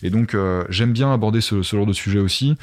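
Male podcaster in interview, lowish voice, casual and conversational, explaining things directly to the listener. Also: fairly quick.

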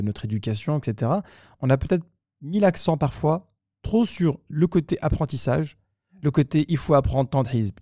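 Mellow male podcast host. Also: mid-range voice, dry recording, studio quality. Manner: affirming, interjects short approvals. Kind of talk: podcast discussion.

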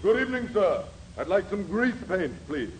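A man's voice: high-pitched, big pitch swings, moderate pace. An actor playing a pompous, vain male thespian in a 1940s radio comedy, with haughty, formal diction. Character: pompous, vain stage actor hungry for stardom. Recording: noisy vintage 1940s radio recording.

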